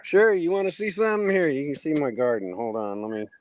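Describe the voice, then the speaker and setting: A man's voice: a little high, big pitch swings, fast, slight background noise. A man chatting casually as a guest, talking from a backyard. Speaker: hangout guest. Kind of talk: casual chat. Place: outdoors in a backyard.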